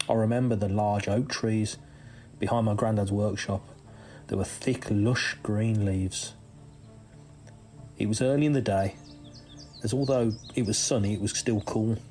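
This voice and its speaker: A man reading a first-person true story as scripted narration, measured, with deliberate pauses between phrases. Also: lowish voice, fairly even intonation, a little mellow. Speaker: reader of a listener's true story. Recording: music under the voice.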